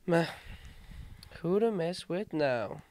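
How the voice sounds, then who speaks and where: Male actor as deadpan young prankster in sketch comedy, slow delivery, higher-pitched. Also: slight background noise.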